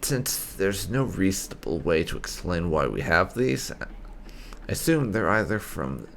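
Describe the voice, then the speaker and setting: A man's voice: low-pitched, sing-song, a little slow, creaky, slight background noise. A man hosting a gaming video, giving casual let's play commentary in a wry, chatty way.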